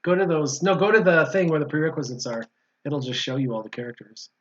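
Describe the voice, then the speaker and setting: A man's voice: fairly high pitch, animated, fast talker, slight background noise. A man chatting casually on a gaming stream with teasing, slangy banter, as the host. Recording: dull, lo-fi voice audio.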